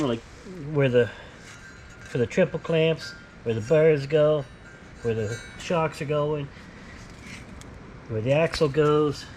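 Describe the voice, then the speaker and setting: Man, fairly high voice, casual shop host, plain-spoken explainer, noisy workshop recording. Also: a little mellow. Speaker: host, powder coating tradesman. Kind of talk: casual workshop vlog presenting.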